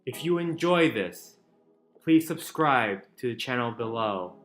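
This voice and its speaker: A man giving a dramatic, emphatic reading of a book, with deliberate pauses. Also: medium pitch, expressive. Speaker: channel narrator reading a book aloud. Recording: roomy echo with music underneath. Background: soft chill music.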